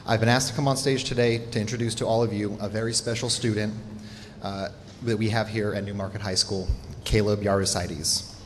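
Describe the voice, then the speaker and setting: A middle-aged teacher with a lowish voice reads a formal, praising introduction from the stage, now and then slipping in an "uh." The sound rings through a large reverberant hall, and a faint hum sits underneath it. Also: male voice, fairly even intonation, a little fast. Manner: formal, warm praise with occasional uh fillers. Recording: echoey hall with faint noise.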